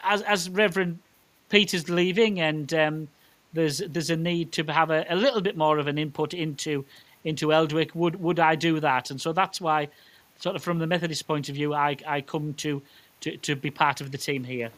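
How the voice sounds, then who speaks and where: A man's voice: fairly high pitch, fairly even intonation, moderate pace, fairly bright tone. A middle-aged man with a County Durham accent, a minister giving informal, chatty and somewhat hesitant answers in an interview. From South County Durham, northeast England. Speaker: Methodist minister.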